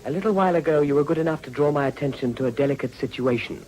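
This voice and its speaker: An actor playing a suave, self-satisfied, wry gentleman politician with urbane formality in a period radio drama. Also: a little high, racing, natural voice, low-quality recording. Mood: smooth, self-satisfied. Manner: urbane, drawing-room formality.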